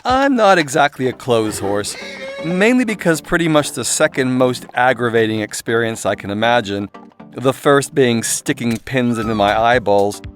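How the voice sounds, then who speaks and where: A middle-aged man narrates an audiobook in a medium-pitched voice. His delivery is sardonic and irreverent, leaning into comic exaggeration as he reads his own script. Faint music runs behind him. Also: animated, normal speed. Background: music and soundscape.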